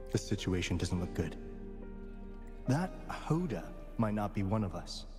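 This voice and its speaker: A man's voice: on the low side, big pitch swings. A man playing a theatrical, effusive host of a mysterious island in a video game, with dramatic delivery. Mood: curious, composed. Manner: dramatic, gushing, drawn-out delivery. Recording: game dialogue, hall reverb, music.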